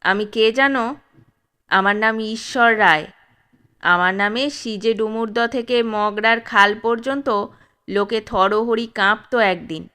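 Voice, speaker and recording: A woman's voice: a little high, slow, pushed and bright. A woman voicing a harsh, commanding old male dacoit chief in a spoken storybook. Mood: menacing. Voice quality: harsh, gruff. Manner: commanding, threatening.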